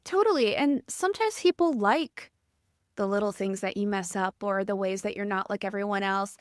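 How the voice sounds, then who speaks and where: This is a woman with a light Midwestern accent and a sweet, soothing voice in a mid register. She hosts a podcast interview and asks reflective questions in an unhurried, gentle way. Her voice sounds close and dry, with no room around it. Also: mid-range voice, dry recording. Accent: Midwestern American. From American Midwest. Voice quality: sweet, calming voice. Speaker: podcast host.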